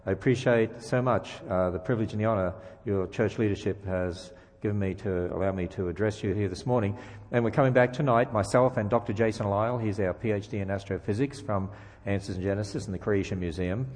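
A man's voice: on the low side, fairly even intonation, brisk, darkish tone, long reverb tail. A man from Australia with an Australian accent, a guest speaker giving a sermon with jokes and emphatic rhetorical questions in a church. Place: church sanctuary.

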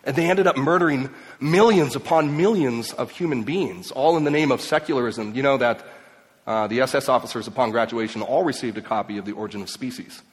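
A man raised in Mexico and Ecuador, giving an emphatic speech to an audience, swinging between loud and quiet. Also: mid-range voice, a little fast. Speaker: speaker. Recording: long hall reverb.

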